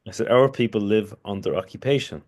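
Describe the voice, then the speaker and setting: Low-voiced man, rambling. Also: a little fast, darkish tone. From Kurdistan, Iraq. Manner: rambling, with many restarts and "you know". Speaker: podcast guest. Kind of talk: casual conversational interview.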